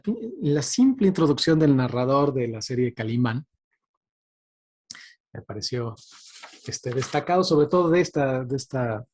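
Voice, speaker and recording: A man's voice: medium pitch, a little mellow, breathy edge. A man giving an informal presentation, hesitant and conversational, often checking for agreement. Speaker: guest lecturer. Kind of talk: informal presentation on radio drama.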